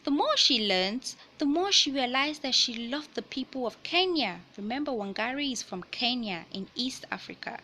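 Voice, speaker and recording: A woman reading a children's picture book aloud in a deliberate, teacherly way, pausing to explain and repeat key points. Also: sits high, lively intonation, sharp tone, slight background noise. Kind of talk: read-aloud storytelling with explanatory asides.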